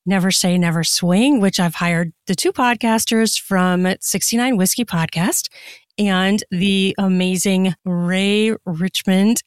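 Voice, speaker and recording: A woman's voice: mid-range voice, dry recording. Chatty woman in a dry, close recording. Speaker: podcast guest, erotica author and audiobook narrator. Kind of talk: casual conversational interview answers.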